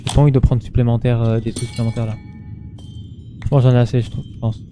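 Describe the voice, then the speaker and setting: A man's voice: medium pitch, level tone, fast, over music. A man doing casual gameplay commentary in an informal, slangy way, muttering to himself and chatting to his viewers. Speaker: gaming streamer.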